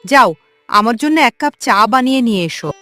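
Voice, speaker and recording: A woman plays an imperious cartoon mother-in-law. Her high voice is sharp and swoops around as she scolds and orders. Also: animated, normal speed, soft background music. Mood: impatient, demanding. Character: bossy, demanding mother-in-law. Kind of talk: cartoon folk tale dialogue.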